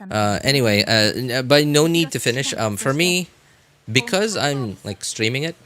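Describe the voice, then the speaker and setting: A man's voice: mid-range voice, lively intonation, moderate pace, fairly bright tone. A man live-streaming a game with casual commentary, chatting to viewers in a rambling way, often repeating and rephrasing himself.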